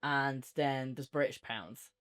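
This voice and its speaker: A man's voice: mid-range voice, fairly even intonation, slow pace, fairly bright tone, clear, resonant tone. An Irish man in a casual, unscripted review chat as co-host, rambling and informal in how he talks.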